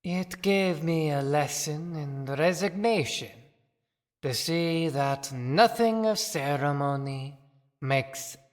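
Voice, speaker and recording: Woman as a slow, resigned failed inventor. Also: deep voice. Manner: halting, brooding. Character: failed small-town inventor and watchmaker, wistful, resigned. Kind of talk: dramatic monologue in an audio play. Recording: long echoing hall reverb.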